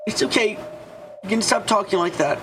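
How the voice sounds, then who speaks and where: A man's voice: high voice, fairly quick, background noise, pitched above the performer's own voice, close to an everyday voice. A man voicing a blunt, casual young man who talks straight and gets flustered. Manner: casual, direct.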